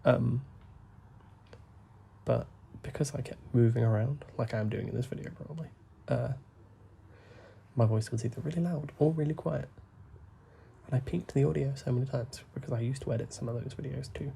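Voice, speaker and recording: A young man, talking softly and rambling as he plays a game, full of fillers and asides. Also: mid-range voice, vocal fry, a little hiss or hum. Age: young adult. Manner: soft, deliberately hushed talk with frequent fillers. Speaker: gaming YouTuber. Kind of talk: rambling gameplay commentary.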